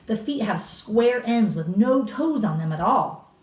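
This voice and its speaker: Lady doing a deadly serious old granny for a kids story, swoopy pitch, echoey room, bit far off. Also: animated, a little mellow, close to an everyday voice. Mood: grave, emphatic. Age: older. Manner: deadly serious, emphatic, drawn-out key words. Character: grave elderly grandmother solemnly warning about witches. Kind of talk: dramatic children's storybook reading. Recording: roomy echo, distant mic.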